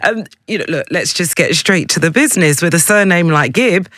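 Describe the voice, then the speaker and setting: A man's voice: sits high, animated, fairly quick, bright, forceful tone, dry recording. A man hosting a radio interview in a studio, speaking in a direct, effusive, emphatic way. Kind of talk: broadcast interview chat.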